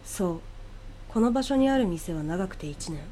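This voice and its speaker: A woman's voice: on the low side, lively intonation, normal speed, mellow, a little hiss or hum. A woman giving a scripted first-person reading of a ghost story as its narrator.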